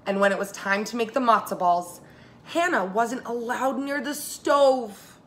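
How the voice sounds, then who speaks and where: A woman preschool teacher reading a story aloud to young children, expressive and speaking to them directly. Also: fairly high pitch, a little slow.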